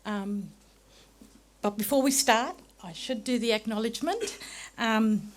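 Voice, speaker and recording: A woman's voice: on the high side, lively intonation, unhurried. A woman lecturer from Darwin in the Northern Territory, introducing herself and giving a formal acknowledgement at a conference. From Darwin, Northern Territory, Australia.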